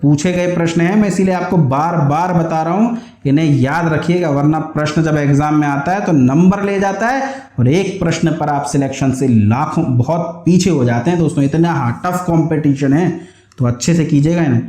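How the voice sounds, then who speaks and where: A high-voiced Indian man teaches an exam-prep class in a lecturing style. He is didactic, restating key points so that they can be memorised. His voice carries a noticeable roomy echo. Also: normal speed, dark tone. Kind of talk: lecture explaining exam questions.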